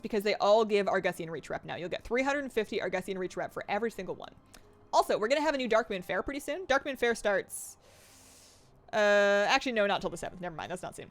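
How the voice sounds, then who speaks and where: Excitable young woman gaming on stream, voice swings all over, reads chat out. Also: medium pitch. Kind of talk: live gameplay stream chat.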